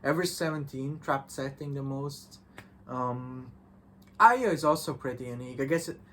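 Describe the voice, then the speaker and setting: A man talking casually and enthusiastically as a visual novel reviewer, halting and repeating himself with false starts. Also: mid-range voice, fairly bright tone. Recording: clearly noisy background.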